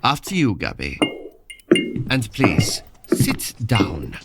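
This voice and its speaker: A man plays an earnest captain and father in a sci-fi audio drama. His delivery is slow and weighty with wide swings in pitch, music playing clearly behind. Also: wildly swooping pitch, full character voice. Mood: grave. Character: grave military captain and loving father.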